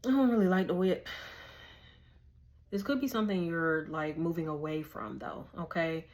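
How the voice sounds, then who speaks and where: A woman's voice: on the low side, steady tone, moderate pace, darkish tone. A woman, giving a casual, slangy tarot reading and thinking aloud as she goes. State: hoarse. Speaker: tarot reader.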